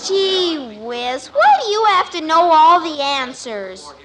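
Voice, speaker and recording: Very high-pitched, pestering little girl. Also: sing-song, slow pace, full character voice. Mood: pouty. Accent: American. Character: pestering nine-year-old little girl fond of riddles. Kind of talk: 1950s radio sitcom acting. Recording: vintage radio recording with faint hiss.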